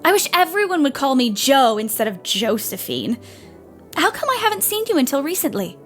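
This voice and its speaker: Woman playing a high-voiced, spirited teenage girl. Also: fairly quick, soft background music. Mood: eager, curious. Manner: eager, expressive. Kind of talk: audio play acting of a period novel.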